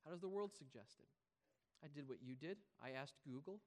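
A man's voice: a little high, lively intonation, brisk, slight background noise. A man preaching a conversational, informal sermon as a pastor, repeating key phrases for emphasis. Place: large reverberant room, preaching live to congregation. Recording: hall reverb, live preaching.

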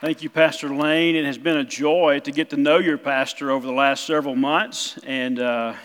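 A middle-aged man with a South Mississippi accent, preaching as a guest missionary pastor, halting with many fillers. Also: mid-range voice, steady tone, a bit bright, smooth. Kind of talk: sermon. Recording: church hall reverb.

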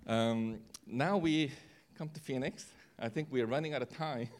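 A soft-spoken man speaks reflectively to a church gathering. His voice sits at an ordinary middle pitch for a man. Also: normal speed. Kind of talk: church presentation talk.

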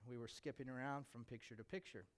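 An American man, a pastor, speaking informally to his church about a mission trip in an animated, conversational storytelling way. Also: medium pitch, fast talker.

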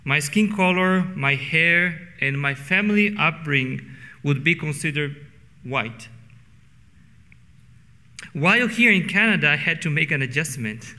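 A middle-aged Brazilian pastor preaches in English with a Portuguese accent, drawing out key words for weight. His voice is bright and sits a little high for a man. It rings out with the long reverb of a church hall. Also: expressive. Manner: earnest, slows down for emphasis. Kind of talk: sermon. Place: church sanctuary.

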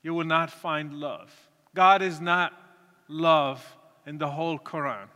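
Guy speaking slow and earnest, bright voice, big echoey hall. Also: fairly high pitch, steady tone. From Morocco. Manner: earnest, deliberate, with pauses and restarts. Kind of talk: testimony talk to an audience. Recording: reverberant hall.

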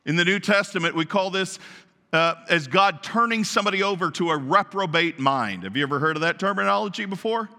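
A male pastor preaches in a naturally loud, emphatic voice, often asking his congregation questions. His voice sits high for a man and rings sharp and forceful. A long reverb trails after it, as in a large church sanctuary. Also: animated, fairly quick. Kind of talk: sermon.

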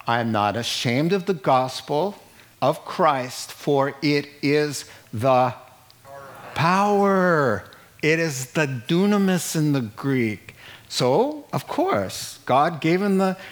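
A middle-aged man preaching a sermon in an animated way, acting out the people he quotes and laughing at his own jokes. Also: a little high. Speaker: pastor.

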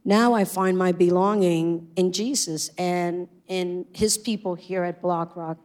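A woman's voice: fairly low pitch, fairly even intonation, measured pace, a little mellow. A middle-aged woman with a Puerto Rican accent, a native Spanish speaker, sharing her personal testimony in an earnest, reflective way. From Puerto Rico. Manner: earnest, reflective, with frequent ums. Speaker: church member sharing testimony.

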